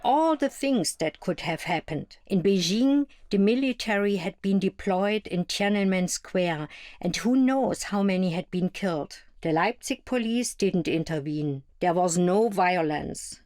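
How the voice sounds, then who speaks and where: A woman's voice: mid-range voice, lively intonation, unhurried, darkish tone, no room sound, studio quality, natural voice. A woman voicing an East German woman looking back on the 1989 protests in scripted first-person narration. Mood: reflective.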